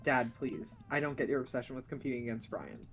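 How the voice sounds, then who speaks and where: A man voicing a dry, sarcastic teenage daughter in a video game, with a flat deadpan delivery. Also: medium pitch, fast talker, soft background music, voice pushed down, natural voice.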